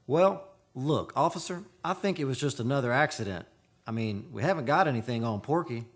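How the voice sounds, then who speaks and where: A man's voice: medium pitch, lively intonation, a little fast, lightly acted. A man playing an impatient, practical drilling crew boss in a radio drama, brusque and slangy. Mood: reasoning.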